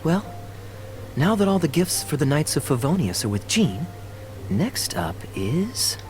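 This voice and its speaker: A man's voice: a little high, very animated, a little slow, music in the background. A man voicing a suave, easygoing young knight with a sly, playful and casual manner, in video game style.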